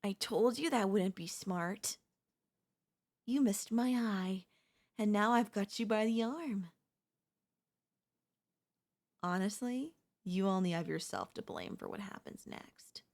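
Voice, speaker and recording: A chatty female zombie, played by a woman, wheedles and reasons as if making casual conversation. Her pitch moves in animated swings while the words come slowly. It is sly, comedic audio roleplay with a conversational, coaxing tone. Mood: smug.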